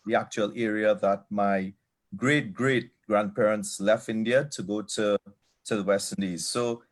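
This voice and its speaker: A man from Trinidad and Tobago with a Trinidadian accent, giving earnest remarks as a guest on a video call, often pausing with fillers. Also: medium pitch. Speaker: guest speaker. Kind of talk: online event remarks.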